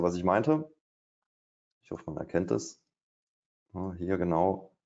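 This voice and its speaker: Low, gravelly man thinking aloud. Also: mellow. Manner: thinking aloud, hesitant, many fillers. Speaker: day trader. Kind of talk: live trading commentary. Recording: dull, lo-fi stream audio.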